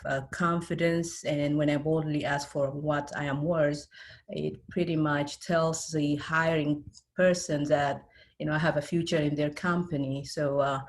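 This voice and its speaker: A middle-aged woman with an Ethiopian accent, giving a personal account as an interview guest. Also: sits low. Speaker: interview guest, IT specialist and career mentor.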